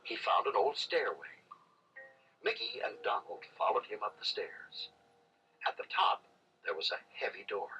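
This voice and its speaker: A man's voice: fairly low pitch, moderate pace, pauses often, low-quality recording. A man narrating a children's read-along story in a dramatic, theatrical, scripted style. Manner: theatrical, animated. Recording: music behind, played read-along recording.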